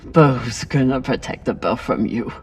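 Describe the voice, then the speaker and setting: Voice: medium pitch, moderate pace, husky, natural voice. An actor playing an embittered, defiant former soldier in a video game, with an intense, accusing way of talking. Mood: bitter reproach. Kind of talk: dramatic video game voice acting.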